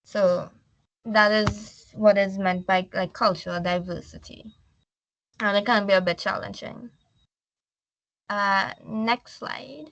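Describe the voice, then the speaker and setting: Bright-voiced Trinidadian woman presenting. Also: medium pitch, steady tone, takes it slowly, vocal fry, long pauses, no room sound, poor audio. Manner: reads slides, then explains in loose everyday talk. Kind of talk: slide presentation.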